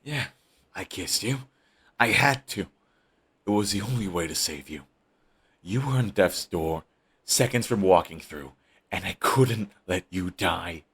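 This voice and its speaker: Man doing a brooding villain, husky, very deep, intimate close-mic audio drama. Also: animated, a little slow, pauses often, exaggerated voice. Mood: heated, defensive. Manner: intimate, shifts between hushed and heated. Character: brooding male villain, defensive and lovestruck. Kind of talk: audio roleplay monologue.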